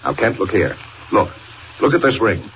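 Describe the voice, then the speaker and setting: Brusque, insistent newspaper boss. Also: male voice, lowish voice, very animated, moderate pace. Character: gruff, urgent, bossy male newspaper boss. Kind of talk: 1940s radio acting. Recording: muffled 1940 radio recording, some noise.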